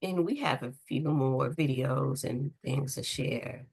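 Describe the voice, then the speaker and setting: A woman's voice: extremely low pitch, takes it slowly, vocal fry, darkish tone, breathy edge. A woman hosting an event informally, searching for her words as she goes. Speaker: event host.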